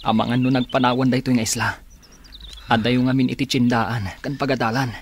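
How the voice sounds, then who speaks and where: Resolute castaway man, acted out over clear noise. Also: medium pitch, flat delivery, brisk, lightly acted. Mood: urgent, determined. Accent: Ilocano. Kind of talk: Ilocano radio drama acting.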